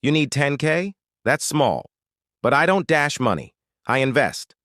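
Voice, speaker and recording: A man's voice: mid-range voice, animated, unhurried, a bit bright, dry recording, clean studio sound, voice pushed up. A man with a Nigerian accent playing a shady, businesslike lender in a story, terse and controlling. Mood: cool, demanding.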